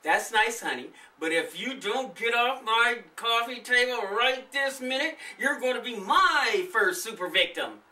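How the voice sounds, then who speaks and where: A man's voice: very high voice, animated, unhurried, hardly any pauses, pushed and bright, reverberant, recorded from a distance, voice pushed up, exaggerated voice. A man voicing a fond but firm mother of young children in a storybook reading. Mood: stern.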